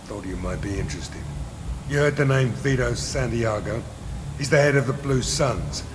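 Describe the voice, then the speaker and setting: Casting: male actor as hard-bitten older gun for hire, rough voice, clipped menace, video game, clear background hum. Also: medium pitch, moderate pace, close to an everyday voice. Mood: matter-of-fact. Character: gruff, hard-bitten older male mercenary, cynical and ruthless.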